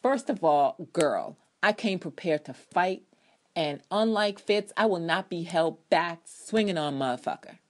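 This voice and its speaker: A woman's voice: lowish voice, lively intonation, measured pace. A woman giving an emphatic, theatrical reading of an opinion essay, with sardonic ad libs. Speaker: TV drama blogger reading own review aloud.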